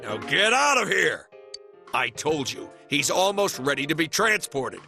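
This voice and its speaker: An actor playing a gruff, irritable man in authority in a video game, gravelly and brusque as he scolds. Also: on the high side, sing-song, unhurried, over music, heavily acted. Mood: irritated. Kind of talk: English game voice acting.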